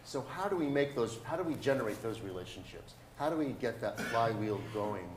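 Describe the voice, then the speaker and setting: An older man moderating a panel, telling an anecdote and posing questions informally, often pausing mid-phrase. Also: medium pitch, expressive. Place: stage of a lecture hall, indoors. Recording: echoey hall with background noise.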